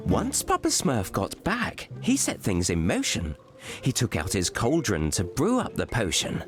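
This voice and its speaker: A cheerful little blue Smurf poet spinning a rhyming storybook tale. His pitch swoops wildly from low to high, and he lingers over every line at an unhurried pace. Soft guitar music plays beneath him. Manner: theatrical, singsong, trails off into bashful asides. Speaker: narrator. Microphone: studio voice-over. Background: gentle guitar music.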